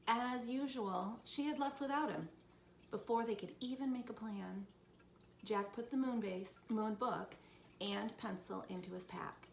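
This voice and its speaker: A woman's voice: a little high, unhurried, vocal fry. A woman reading a children's storybook aloud as a teacher would, expressively, for young listeners. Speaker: teacher reading to first graders. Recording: echoey room, background noise.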